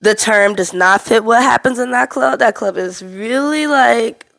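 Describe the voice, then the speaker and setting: A woman in her twenties with a slightly stuffy voice from a cold, telling a personal story to camera in a candid, animated way. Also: a little high, measured pace, vocal fry. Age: 20s. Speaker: vlogger.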